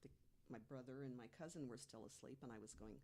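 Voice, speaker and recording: A woman in her mid sixties with a very low-pitched voice, speaking as a podcast panelist. She tells her stories in a casual, conversational way. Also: fast talker, very noisy. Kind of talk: casual personal storytelling.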